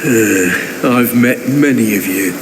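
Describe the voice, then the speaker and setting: Scornful demon guard, played by a man; slow, lively, reverb and ambient noise. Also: medium pitch, close to an everyday voice. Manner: dismissive, sneering. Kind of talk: game cutscene acting. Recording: long reverb, noisy background.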